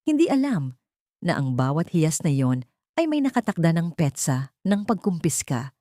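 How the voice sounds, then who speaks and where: A woman with a low voice narrates dramatic fiction. Her delivery is deliberate and theatrical, with melody that swings widely to build suspense, in a very dry, close sound. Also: sing-song, mellow, dry recording. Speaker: narrator of an audio story. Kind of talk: dramatic scripted storytelling narration.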